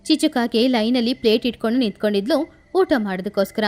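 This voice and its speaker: High-pitched woman storyteller over music. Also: expressive, quick pace, a little mellow. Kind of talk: scripted narration of children's moral story. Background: background music.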